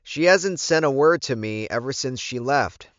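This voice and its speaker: Man playing a deferential, higher-voiced young underling. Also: animated, moderate pace, a bit bright, no echo, close to an everyday voice. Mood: concerned. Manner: earnest, respectful, concerned. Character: deferential male pack underling reporting to his alpha. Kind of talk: audiobook character voice. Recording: muffled, dull recording.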